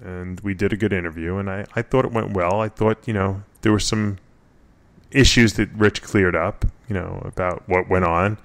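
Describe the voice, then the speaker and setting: A man's voice: low voice, steady tone, moderate pace, vocal fry. A man hosting a casual radio talk show, rambling and joking with plenty of fillers. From Florida. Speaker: host.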